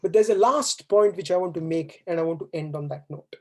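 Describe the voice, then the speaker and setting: A woman's voice: on the low side, fairly quick, mellow. A woman from India whose first language is Hindi, giving a formal valedictory speech as a guest speaker at a university event, earnest and exhorting, with rhetorical questions. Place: university auditorium.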